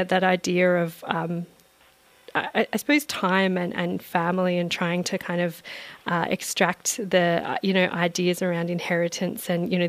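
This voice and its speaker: A woman radio presenter, asking long, halting questions full of fillers. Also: on the low side, no room sound. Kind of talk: radio interview.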